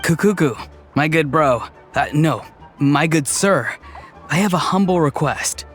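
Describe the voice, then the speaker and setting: A man's voice: a little high, expressive, unhurried, faint music behind. An actor playing a playful, probing young man in a video game, wry and teasing with a friendly touch.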